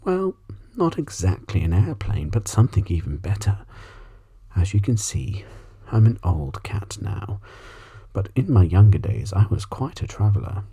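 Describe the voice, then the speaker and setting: A man voicing a polite, well-travelled old female alley cat in a storybook, soft and breathy, telling her tales at leisure. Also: fairly low pitch, sing-song, unhurried, heavily acted. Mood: reminiscing. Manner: courteous, leisurely, reminiscing. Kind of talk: ASMR storybook reading.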